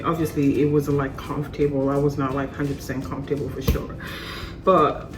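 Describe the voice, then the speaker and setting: A young woman from Sierra Leone with a deep, low voice, made hoarse and rough by a lingering cold. She chats easily and animatedly to her followers, a little distant from the phone mic with faint music under her. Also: roomy sound. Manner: chatty, lively and warm to viewers, often laughing. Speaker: vlogger. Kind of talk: casual talk to camera.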